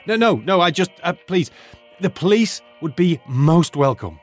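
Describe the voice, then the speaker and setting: An actor playing a nervous teacher who fakes a posh British accent, stammering and overly polite. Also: male voice, fairly high pitch, big pitch swings, moderate pace, faint music behind. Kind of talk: audio drama acting.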